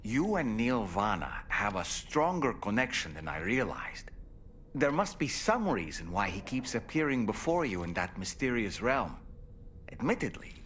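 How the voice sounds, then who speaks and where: A thoughtful scientific adviser in a video game. He speaks formally and articulately at a medium pitch with lively inflection, over faint noise. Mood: sincere, reflective. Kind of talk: video game dialogue acting.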